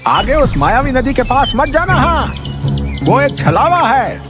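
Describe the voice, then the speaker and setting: A cartoon's mad old man barks and stretches his warnings slowly, shrill and furious. The voice sits high for a man. Soft background music plays behind him. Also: big pitch swings, full character voice. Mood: menacing. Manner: shouting threats, drawn-out slow warnings. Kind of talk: cartoon storybook voice acting.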